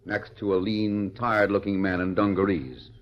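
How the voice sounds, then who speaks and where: A man's voice: low voice, normal speed. A man giving dramatic, scripted narration for a 1940s radio western. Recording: muffled vintage radio recording, music behind. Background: music.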